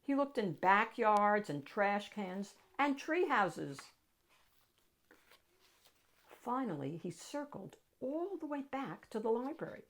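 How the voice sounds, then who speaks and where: A woman narrating a children's picture book aloud with dramatic, expressive storytelling. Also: mid-range voice, takes it slowly.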